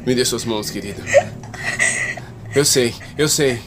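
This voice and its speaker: A man's voice: medium pitch, a little slow, natural voice. A Brazilian man playing a protective, desperate father in a dramatic video game fan dub. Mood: anguished. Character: protective, desperate father fleeing with injured daughter. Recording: clear noise and ambience behind voice.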